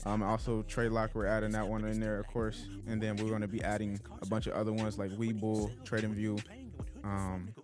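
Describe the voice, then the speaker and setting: A man hosting a live trading stream, laid-back and slangy, swearing casually as he talks to members. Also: on the low side.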